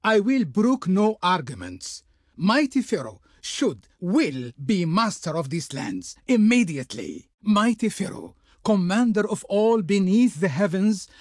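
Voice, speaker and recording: An actor playing a haughty, pompous envoy of an ancient Pharaoh in a video game, speaking in ornate, declamatory style. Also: male voice, high-pitched, dry recording, studio quality, heavily acted. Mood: imperious. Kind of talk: theatrical video game voice acting.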